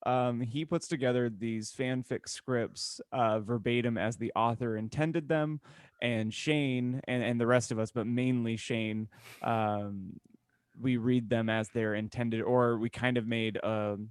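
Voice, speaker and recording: A man's voice: mid-range voice, steady tone, unhurried, smooth, no room sound, clean studio sound. A man co-hosting a podcast in casual chat, explaining things in a rambling, long-winded way. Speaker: podcast co-host.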